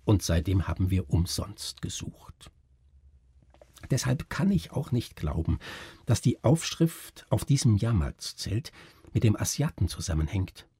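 A man voicing a grave, educated doctor in a literary story, speaking in a measured, brooding, formal way. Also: on the low side, sing-song, mellow, slightly rough, no echo, exaggerated voice. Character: grave educated male doctor recounting a friend's ruin.

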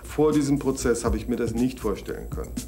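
A man's voice: mid-range voice, lively intonation, a little fast, gravelly, mellow, soft background music. A man speaking as a defense lawyer, firm and considered.

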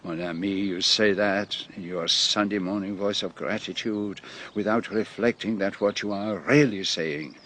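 An actor playing a shrewd, self-assured Belgian detective in a radio drama, with a French-speaking Belgian accent and a deliberate, theatrical manner. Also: male voice, fairly low pitch, a bit bright, a little hiss or hum. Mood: pompous, emphatic. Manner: deliberate, theatrical, peppers speech with French phrases.

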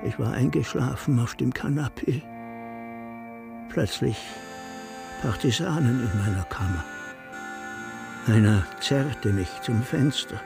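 An actor playing a guilt-ridden village priest, confessing haltingly and solemnly in a radio drama, with a husky, gravelly voice. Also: male voice, medium pitch, music in the background. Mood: burdened, haunted. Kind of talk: slow, literary radio drama monologue.

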